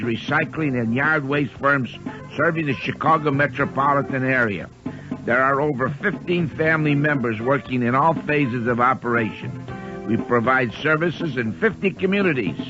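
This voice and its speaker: A man's voice: medium pitch, normal speed. A man reading a scripted radio commercial as spokesman for a family business. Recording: dull radio ad with music bed.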